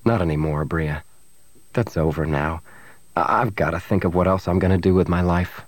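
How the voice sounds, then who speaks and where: A man's voice: lowish voice, measured pace, dry recording. A man voicing a brash young rogue in an audiobook, fierce on the surface and tender underneath. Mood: dejected.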